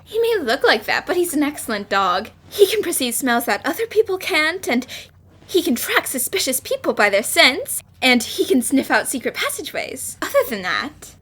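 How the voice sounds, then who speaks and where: Woman voicing a perky, high-pitched young lady detective. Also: very animated, moderate pace, fairly bright tone. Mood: bright, composed. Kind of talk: amateur English fan dub of animated game dialogue.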